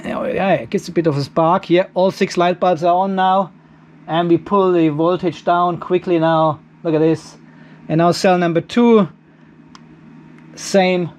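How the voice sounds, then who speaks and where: A middle-aged man with a notably high voice talks through a practical job in a garage workshop. He is informal and chatty, thinking aloud as he demonstrates. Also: steady tone, a little slow. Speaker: host of a DIY off-grid battery channel. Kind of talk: casual hands-on demonstration talk.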